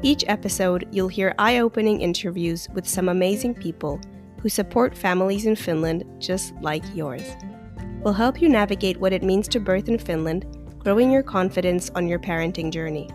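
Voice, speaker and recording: A woman hosting a birth story podcast as a doula, friendly and quick to laugh, with many fillers. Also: mid-range voice, darkish tone, soft background music. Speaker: podcast host and postpartum doula. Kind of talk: podcast interview with scripted intro.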